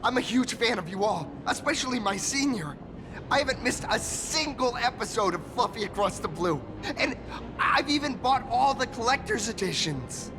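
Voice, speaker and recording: High-pitched, gushing young hero fanboy. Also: male voice, animated, normal speed, background noise. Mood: starstruck, thrilled. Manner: gushing, stammering when flustered. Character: excitable starstruck young male actor-turned-masked-hero, earnest and humble. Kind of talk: anime-style video game voice acting.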